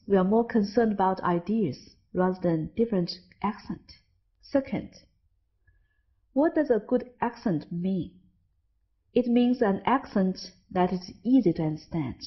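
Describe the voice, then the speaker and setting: A woman's voice: mid-range voice, breathy edge, dull audio. A Chinese woman with a Chinese accent, an English teacher, narrating a scripted lesson and carefully stressing example words. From China.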